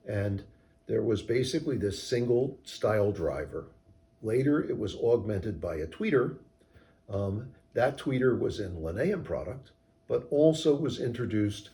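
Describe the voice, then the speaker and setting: Mellow, low-voiced man explaining. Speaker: loudspeaker company co-founder, interviewee. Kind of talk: interview answers about product design.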